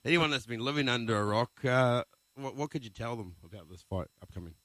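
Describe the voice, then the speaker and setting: A man's voice: medium pitch, expressive, quick pace, fairly bright tone, no echo. A man hosting a casual podcast interview, asking teasing, joking questions. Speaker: host.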